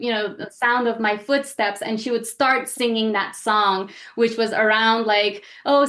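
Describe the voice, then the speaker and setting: Session leader: woman from Iran, slightly high voice, conversational, reflective, pauses often. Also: a bit bright. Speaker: speaker leading a group session. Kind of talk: conversational talk to a group.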